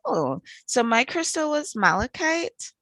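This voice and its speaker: A woman's voice: high voice, big pitch swings, a little slow, fairly bright tone, a little hiss or hum. A woman with a Trinidadian accent hosting an online networking call in an effusive, enthusiastic way. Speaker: host. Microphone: video call.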